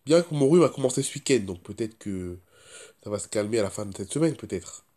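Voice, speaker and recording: A man's voice: lowish voice, animated, fast talker, dark tone. A man chatting casually as a vlogger, stuffed up with a cold and sore throat, rambling and repeating himself. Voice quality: stuffy-nosed voice. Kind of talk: casual first-person vlog chat.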